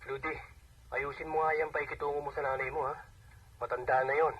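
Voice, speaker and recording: A man's voice: a little high, little pitch movement, brisk, husky. An actor playing a weary, homesick husband working away from his family, talking conversationally in a radio drama. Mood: admonishing. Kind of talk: Filipino radio drama acting. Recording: dull distant radio playback.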